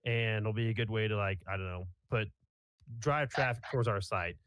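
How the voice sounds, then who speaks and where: A man's voice: fairly low pitch, moderate pace, a bit bright, no room sound, clean studio sound. A man in his twenties from Kansas, a podcast guest chatting casually in a rambling, blunt way and swearing freely. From Kansas, USA. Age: 20s.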